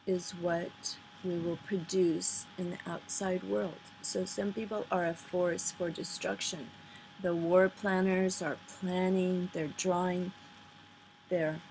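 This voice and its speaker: Slow-speaking woman with a flat, halting delivery. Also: on the low side. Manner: earnest, halting delivery with pauses between phrases. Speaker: vlogger. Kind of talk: informal spoken commentary on ideas, reading out quotes. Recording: noisy amateur recording, poor sound quality.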